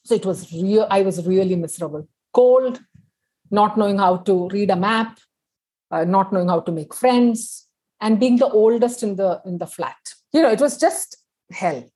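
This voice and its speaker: A woman's voice: mid-range voice, moderate pace, dark tone, slightly rough. A middle-aged woman from Kerala in India, whose first language is Tamil, chatting as a guest on a video call and telling stories with many fillers. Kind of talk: casual interview chat.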